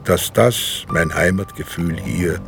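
An actor playing a dry, easily amused middle-aged police inspector in a naturalistic radio drama, speaking conversationally with some hesitation. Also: male voice, sits low, slow pace, creaky, music in the background, heavily acted. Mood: reflective, wry. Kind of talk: naturalistic German radio drama, with inner monologue.

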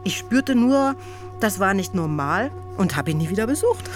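A woman's voice: mid-range voice, lively intonation, normal speed, faint music behind, natural voice. An actress in a radio play voicing a jaded, outspoken woman actress in recovery, blunt, sardonic and confessional. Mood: frank, bitter. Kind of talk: modern radio play acting.

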